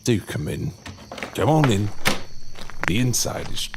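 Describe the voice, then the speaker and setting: A man's voice: lowish voice, big pitch swings, a little slow, slight background noise. A man acting in an audio drama as an effusive, theatrical long-lost brother, with flamboyant, wordy, old-fashioned phrasing. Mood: grand, wistful.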